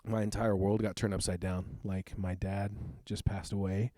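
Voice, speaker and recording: A man in his thirties, a youth pastor, shares his personal testimony in an earnest, reflective, halting way. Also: low voice, flat delivery, warm tone, no echo. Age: 30s.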